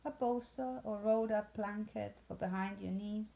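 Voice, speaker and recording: A woman leading a guided yoga nidra meditation in a soothing, unhurried way with long pauses. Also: medium pitch, fairly even intonation, vocal fry, warm tone, low-quality recording.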